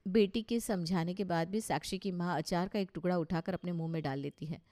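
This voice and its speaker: A woman's voice: fairly low pitch, fairly even intonation, brisk, warm tone. A woman reading scripted narration for a moral story. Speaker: narrator and voice of characters.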